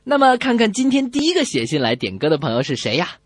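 Animated, husky female radio comedy host. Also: mid-range voice, quick pace, no echo, clean studio sound. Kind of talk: scripted comic banter between radio hosts.

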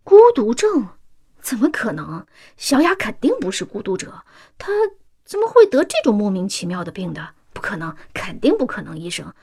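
A woman's voice: mid-range voice, sing-song, normal speed, a little mellow, slightly rough. An actress playing a devoted, anxious working-class mother in a science-fiction radio drama, pleading and sighing emotionally. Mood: disbelieving, pleading.

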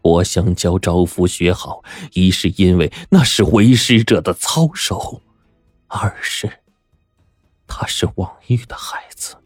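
A man's voice: low voice, flat delivery, moderate pace, a little mellow, no echo, soft background music. A man voicing a somber middle-aged prefect in a story, speaking with grave, bitter restraint. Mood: bitter, subdued. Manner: grave, self-mocking, cold.

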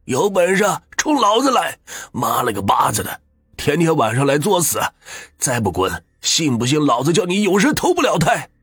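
A man playing a shouting, fierce old husband. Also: fairly high pitch, huge pitch swings, moderate pace, breathy edge, dry recording, clean studio sound, voice pushed up, full character voice. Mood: furious. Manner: shouting, cursing. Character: fierce old husband defending his dying wife.

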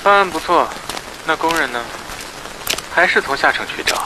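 An actor playing an arrogant, sneering younger brother from a rich family in a radio drama. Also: male voice, on the high side, animated, moderate pace, background noise. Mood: mocking.

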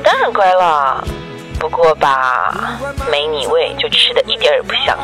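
A woman's voice: deep voice, animated, normal speed, low-quality recording. An actress in a radio drama playing a doting, clingy young girlfriend who is coy, tender and pouty. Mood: affectionate. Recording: music behind the voice. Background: background music.

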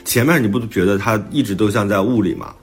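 A man's voice: lowish voice, fast talker, breathy edge. A man speaking as an author in a casual book talk, explaining his thinking in a reflective way. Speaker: author and podcast host discussing their own book. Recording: music playing behind the talk. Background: background music.

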